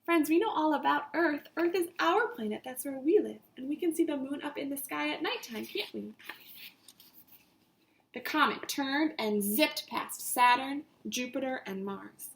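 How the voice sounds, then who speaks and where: A woman reading a children's story aloud in an animated, deliberate teacher's way, addressing her young listeners directly. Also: high-pitched, vocal fry, low-quality recording.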